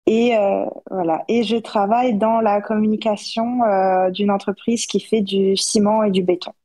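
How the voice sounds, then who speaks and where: A woman's voice: medium pitch, fairly even intonation, normal speed, warm tone. A woman, answering casually as a podcast guest. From born in Russia, grew up in Paris, France. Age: 30s. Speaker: podcast guest, self-published author.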